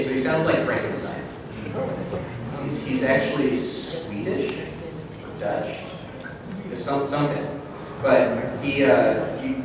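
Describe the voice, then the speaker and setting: A man telling casual, halting, jokey anecdotes as an audio drama producer on a convention panel. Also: medium pitch. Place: large indoor convention room. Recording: very echoey hall, distant mic, noisy.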